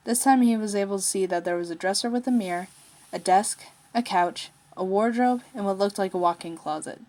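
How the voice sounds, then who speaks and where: A woman's voice: mid-range voice, a little fast, mellow. A woman narrating a piece of fiction, reading it aloud from a written text. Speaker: story narrator, fan fiction writer.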